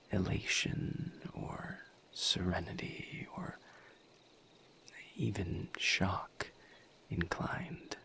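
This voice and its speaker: A man playing a calm, gentle, formal misery demon in an intimate roleplay audio, with a hushed, breathy voice and measured, deliberate phrasing. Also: low voice, heavily acted. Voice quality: hushed, breathy near-whisper. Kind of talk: soft-spoken roleplay audio, intimate monologue to listener.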